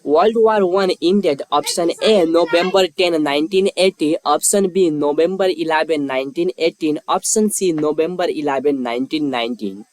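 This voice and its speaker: A man hosting a quiz, reading scripted questions and lettered options in a measured, formulaic way. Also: on the high side, no room sound, poor audio. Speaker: quiz host.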